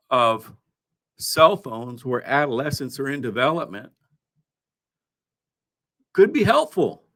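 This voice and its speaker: A man hosting a news countdown, part reading and part ad-libbing his commentary in a halting way, with wry asides. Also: mid-range voice, a bit bright. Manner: halting, with frequent throat clearing and wry asides.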